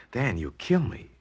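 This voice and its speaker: An actor playing an affable, broke heir to a crumbling mansion in 1940s radio drama, breezy, slangy and emphatic. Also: male voice, lowish voice, a little mellow, slightly rough, heavily acted.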